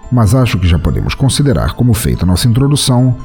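A man with a Brazilian Portuguese accent, hosting a podcast and reading a short story aloud with theatrical, playful pauses. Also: fairly low pitch, very animated, a little mellow, soft background music. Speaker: podcast host and story reader.

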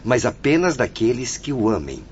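An actor playing a patient, reassuring spirit companion in a radio soap opera, speaking in a formal, consoling way. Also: male voice, mid-range voice, big pitch swings, normal speed, darkish tone, lightly acted. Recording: muffled, noisy radio drama recording.

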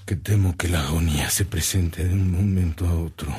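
An actor playing an ailing, devout old bishop in a radio drama, solemn and effortful. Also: male voice, sits low, steady tone, normal speed, a little mellow, breathy edge. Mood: weary, urgent. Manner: solemn, prayerful, effortful delivery.

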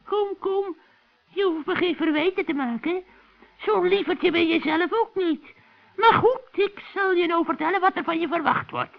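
A man playing a cranky, scheming old forest witch with a shrill, cackling voice in a children's radio play. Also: moderate pace, creaky, muffled recording. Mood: irritated scolding. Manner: scolding, exclaiming, wheedling.

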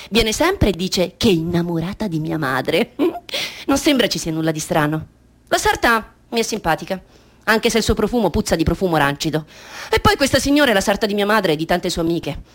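A woman acts out a little girl in a radio monologue. She is precocious and defiant, with a naive bravado over a troubled core. The pitch stays moderate for a female voice. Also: natural voice.

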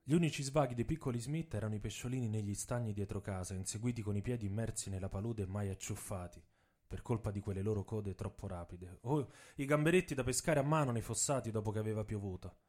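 A man delivers scripted dramatic storytelling for radio theatre in measured short phrases with emphatic stresses. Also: lowish voice, a little mellow. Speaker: writer and narrator of a radio theatre piece. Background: saxophone and drums music.

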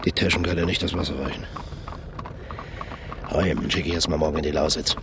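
Deep-voiced amorous baroque king. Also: fairly even intonation, brisk, background noise. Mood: scheming, smitten. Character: amorous, imperious baroque king, flattering and scheming. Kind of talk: radio drama acting.

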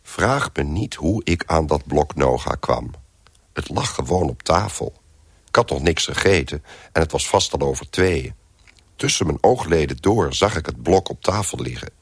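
Deep-voiced, hungover, wry male narrator. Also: animated, moderate pace, husky, no room sound, studio quality, heavily acted. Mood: wry, groggy. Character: hungover, bedraggled man recounting his misadventures. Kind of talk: first-person radio play narration.

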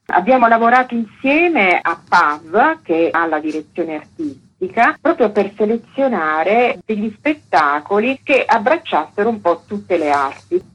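A woman's voice: mid-range voice, sing-song, unhurried, breathy edge, poor audio. A woman interviewed on radio as a director, giving orderly, didactic explanations. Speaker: archaeological park director, interview guest.

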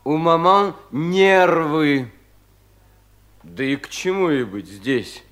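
An actor playing a despairing, bitterly mocking young provincial official in a theatrical radio drama, with long dragging pauses. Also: male voice, fairly high pitch, lively intonation, a bit bright. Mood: drunken mockery. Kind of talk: 1970s Russian radio drama acting.

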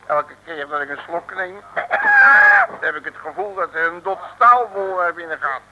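A wisecracking detective from an old radio comedy serial. He grumbles in irreverent slang, his fairly high voice bouncing up and down over clear background noise. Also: huge pitch swings, unhurried, muffled recording, heavily acted. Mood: grumbling. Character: cheeky, unimpressed wisecracking Dutch private detective. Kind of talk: 1960s comic radio serial acting. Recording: noisy old radio recording.

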